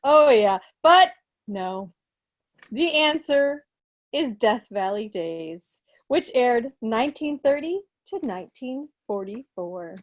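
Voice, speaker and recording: A woman with a high-pitched voice talks casually from her living room on a video call. She comes across as chatty and playful, like the presenter at a club meeting. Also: animated, takes it slowly. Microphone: video call from a seat in an armchair.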